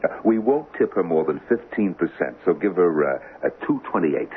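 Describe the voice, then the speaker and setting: A cocky Devil posing as a hustler in a 1970s radio drama. The low voice swings wildly up and down as it teases and needles. It comes through a thin, noisy old broadcast. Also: male voice, big pitch swings, brisk, phone-quality sound. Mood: sly, nonchalant. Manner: glib, needling, teasing.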